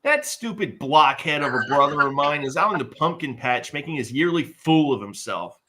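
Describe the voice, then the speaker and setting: A man's voice: fairly high pitch, expressive, moderate pace, noisy background, lightly acted. A man voicing a bossy, scornful young cartoon girl in a scolding, blunt manner at a live table read.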